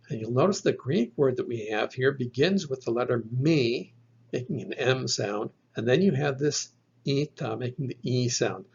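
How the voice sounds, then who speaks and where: A man's voice: mid-range voice, slightly rough, lo-fi sound. A man giving a recorded lecture on Greek pronunciation, methodically repeating each sound for the listener. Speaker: Greek language teacher.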